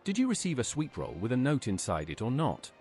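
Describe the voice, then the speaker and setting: A man's voice: mid-range voice, huge pitch swings, a little fast, darkish tone. A man's synthetic voice asking polite, plain questions as a game's player character. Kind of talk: text-to-speech game dialogue.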